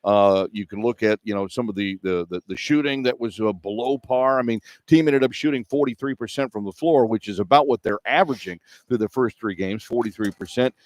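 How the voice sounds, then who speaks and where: A man's voice: fairly low pitch, a little fast, few pauses, no room sound. A middle-aged or older man hosting radio sports coverage, giving postgame commentary. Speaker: play-by-play radio host. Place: indoor arena broadcast position.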